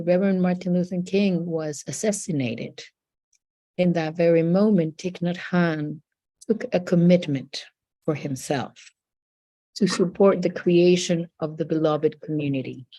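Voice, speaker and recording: A woman from Costa Rica with a Costa Rican Spanish accent, telling an earnest, measured story on a video call. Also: fairly low pitch, warm tone, no echo. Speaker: community member. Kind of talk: prepared remarks on video call.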